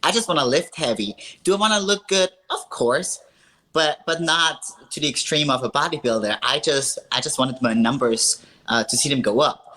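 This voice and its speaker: A woman in her thirties from Austria, a strongwoman athlete telling her story with earnest upbeat warmth in a casual call interview. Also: low voice, normal speed, poor audio.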